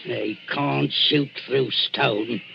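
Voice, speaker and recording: A male actor playing a gruff, bullying grave robber gang leader with a working-class Cockney accent in a radio melodrama. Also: medium pitch, steady tone, very slow, noisy background, exaggerated voice. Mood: urgent. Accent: English, working-class Cockney. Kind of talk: melodramatic radio drama acting. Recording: muffled old radio drama recording.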